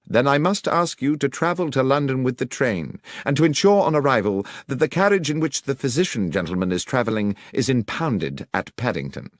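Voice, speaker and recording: A man voicing a brisk, assured detective who explains his deductions precisely and with authority, as audiobook dialogue. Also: medium pitch, expressive, no room sound, clean studio sound, pitched above the performer's own voice, lightly acted. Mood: commanding.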